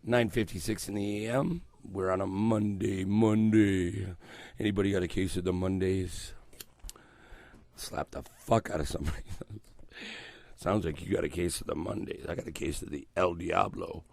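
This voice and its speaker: An older man hosting morning radio, his voice hoarse and croaky as he gets over a cold, rambling loosely and swearing freely into a headset mic in a small studio. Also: low voice, steady tone, moderate pace, darkish tone, dry recording. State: recovering from a cold, voice coming back. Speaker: radio host. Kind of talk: casual morning radio chat. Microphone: headset mic around the ear.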